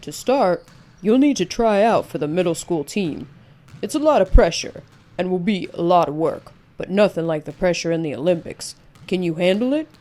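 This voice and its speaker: A serious storybook school coach, a grown man as a woman reader plays him. He speaks emphatically and probingly in a mellow tone, challenging but encouraging. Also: fairly low pitch, expressive, moderate pace, natural voice.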